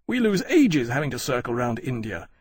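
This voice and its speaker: A man's voice: mid-range voice, big pitch swings, moderate pace, darkish tone, breathy edge, no room sound, clean studio sound. A man voicing a hot-headed, urgent British military officer in an audiobook, arguing emphatically in period-formal speech. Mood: urgent, argumentative.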